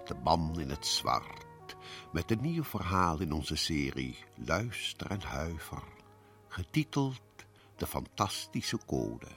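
An actor playing the sinister male host of a horror radio play, narrating with slow, theatrical suspense over music. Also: a bass voice, sing-song, gravelly, a bit bright, exaggerated voice. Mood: ominous.

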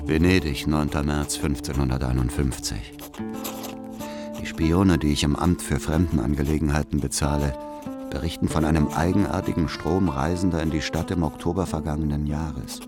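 An actor playing a cold, methodical spy narrating his diary in a radio drama, measured and clipped. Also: extremely low pitch, fairly even intonation, music in the background. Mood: cold, watchful.

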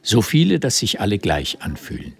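A man's voice: fairly low pitch, huge pitch swings, normal speed, gravelly, a bit bright, slightly rough, exaggerated voice. A man narrating a historical radio drama as its scripted literary storyteller. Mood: observant.

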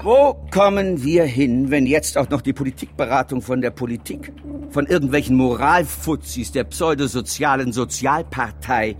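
A man's voice: medium pitch, a little hiss or hum, lightly acted. An actor playing a domineering PR consultancy boss in a satirical radio drama, pompous and rhetorical as he briefs his team. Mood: scornful, incensed. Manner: pompous, rhetorical, piles up clauses. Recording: music behind the voice.

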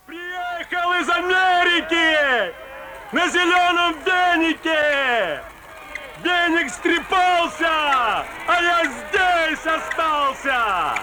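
High-pitched cheeky Russian huckster. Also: male voice, lively intonation, drawn-out words, over music, exaggerated voice. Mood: boastful hawking. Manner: rhyming barker's patter. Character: cheeky fairground huckster, simple Russian fellow. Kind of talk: theatrical folk-tale audio play.

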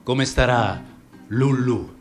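An actor playing a nostalgic middle-aged Roman man, reciting dialect verse about the past in an expressive storytelling manner. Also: medium pitch, takes it slowly, close to an everyday voice. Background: acoustic guitar music.

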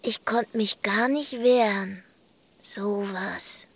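Slow-talking, playful young woman. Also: medium pitch. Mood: playful, curious. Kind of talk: German audio drama dialogue.

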